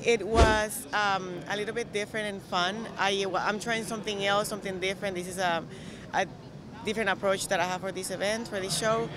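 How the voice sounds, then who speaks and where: Energetic young woman with a Colombian accent. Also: mid-range voice, a little fast, a crowd in the background. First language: Spanish. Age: young adult. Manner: upbeat, energetic. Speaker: bikini competitor interviewee. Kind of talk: casual interview chat. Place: large exhibition hall, indoors. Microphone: handheld interview microphone. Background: music.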